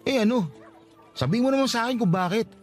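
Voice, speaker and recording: A man's voice: extremely high pitch, expressive, fast, faint music behind. An actor playing a lovestruck, persistent young working-class man, pleading insistently in a radio drama. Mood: hurt, insistent. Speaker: voice actor in a radio-style love story. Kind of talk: Filipino radio drama acting.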